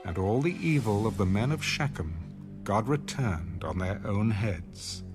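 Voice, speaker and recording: A man reading a dramatized audio Bible as narrator, in a measured, solemn storytelling manner. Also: fairly low pitch, animated. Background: background music.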